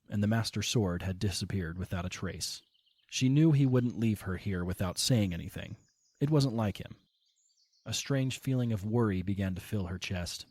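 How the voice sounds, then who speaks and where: Low-voiced male storybook narrator. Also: darkish tone, breathy edge, no echo. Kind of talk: storybook narration of an audio drama.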